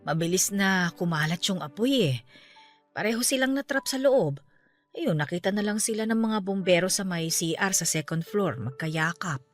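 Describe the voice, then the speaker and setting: Low-voiced grieving middle-aged aunt played by an actress. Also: female voice, lively intonation, moderate pace, lightly acted. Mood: sorrowful recounting. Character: grieving aunt who just lost her parents, caring, steadfast. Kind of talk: Tagalog radio drama acting, naturalistic dialogue. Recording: music bed under the voice. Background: background music.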